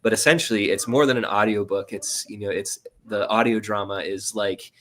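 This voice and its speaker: A man talking as an interview guest, explaining things casually and haltingly. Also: lowish voice, steady tone. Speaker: guest, audio drama writer and producer.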